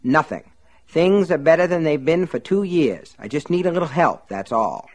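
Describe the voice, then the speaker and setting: A man's voice: a little high, lively intonation, moderate pace, pitched above the performer's own voice. A brutal, bullying husband sneers and bellows orders, his voice pushed up and hard, as a man reading an audiobook puts him on. The delivery rides up and down with menace. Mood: gruff. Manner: snarling, sneering, shouting. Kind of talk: audiobook narration.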